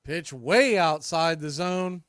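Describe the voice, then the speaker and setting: A man calling baseball play-by-play for a fan radio stream, steady, lifting his voice on big plays. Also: a little high, slow pace, no echo, clean studio sound. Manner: steady calls, rising excitement on big plays. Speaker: play-by-play announcer.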